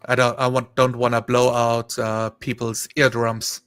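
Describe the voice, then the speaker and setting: A man chatting casually on a live stream, rambling and thinking aloud in a halting way. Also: medium pitch, monotone, a bit bright, smooth.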